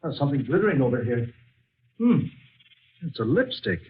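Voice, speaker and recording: A man's voice: mid-range voice, very animated, normal speed, slight background noise, natural voice. An American actor playing a skeptical but caring male companion in a 1940s radio drama. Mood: curious, surprised. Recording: muffled, lo-fi vintage radio broadcast recording.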